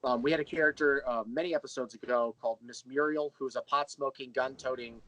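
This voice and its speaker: A man's voice: mid-range voice, steady tone, fairly quick, sharp tone, low-quality recording. A man speaking as a writer and interview guest, chatting casually and explaining at length with enthusiasm. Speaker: interview guest, audio drama writer and creator.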